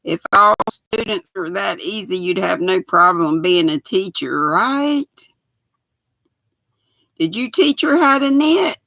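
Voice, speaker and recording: An older woman with a raspy, gravelly voice talks to her livestream viewers at an unhurried pace. She chats in a folksy way and greets people by name. Her voice is close to the mic and dry. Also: medium pitch, lively intonation, pushed and bright, no room sound, low-quality recording. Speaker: knitting livestream host. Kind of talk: casual live chat while sewing.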